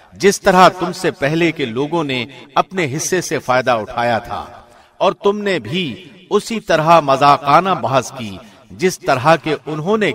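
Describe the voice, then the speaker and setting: A man reading a scripted scripture translation in a formal, deliberate radio presenter's delivery. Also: on the high side, fairly bright tone.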